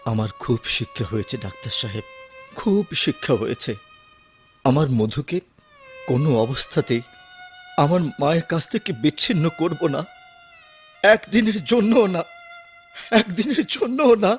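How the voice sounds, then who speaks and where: A man's voice: on the high side, lively intonation, measured pace, long pauses, soft background music. A man playing a devoted, distraught adult son in a radio drama, pleading and repeating himself urgently. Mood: remorseful. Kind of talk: Bengali radio drama acting.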